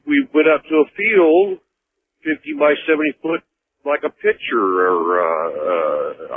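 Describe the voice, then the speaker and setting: Animated American man on tinny phone line. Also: medium pitch, slightly rough. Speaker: caller. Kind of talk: casual phone call to a radio show. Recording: thin phone line on radio.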